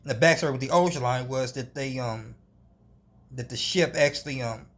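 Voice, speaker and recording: A man giving an unscripted film review, halting, often restarting and hedging as he goes. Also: mid-range voice, fairly bright tone.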